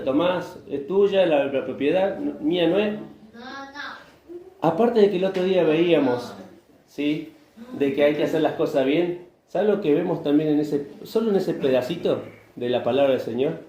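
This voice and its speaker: An Argentine pastor delivers a conversational sermon, often turning to the congregation with questions and slipping into short acted-out exchanges. His voice sits a little high but sounds mellow. The church room adds a roomy echo, with faint background ambience. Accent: Argentine Spanish. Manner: conversational preaching, asks the congregation questions, acts out bits of dialogue. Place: church hall.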